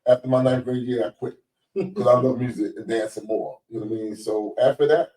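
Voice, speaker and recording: Man in his forties, deep voice, laid-back storyteller, mic a bit far off in an echoey room, for a podcast host read. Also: steady tone, fairly quick. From Baltimore. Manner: laid-back, rambling storyteller with shout-outs. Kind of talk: casual storytelling chat. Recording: roomy echo, distant mic.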